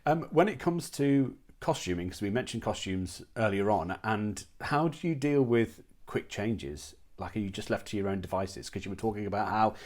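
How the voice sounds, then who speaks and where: A man's voice: lowish voice, expressive, normal speed, hardly any pauses, darkish tone. A man working as an interviewer, asking questions in a casual, conversational style.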